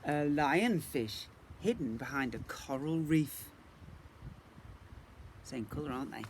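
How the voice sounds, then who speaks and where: A man reading a picture book expressively as a teacher for young children, pausing to ask listeners questions. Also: fairly high pitch, animated, a little hiss or hum. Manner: questioning, engaging asides to listeners.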